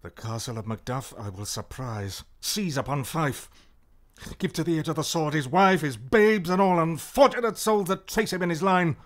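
A man's voice: on the high side, expressive, normal speed, natural voice. An actor playing a haunted, ruthless warrior king in a declamatory Shakespearean radio drama. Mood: grim, ruthless. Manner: declamatory, volatile.

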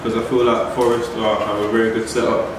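A man's voice: on the low side, little pitch movement, fast talker. A young man chatting casually as he cooks, explaining each step. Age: young adult. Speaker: young footballer cooking. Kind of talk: casual cooking vlog chat. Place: large modern home kitchen. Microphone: far camera mic. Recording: echoey kitchen, distant mic, noisy.

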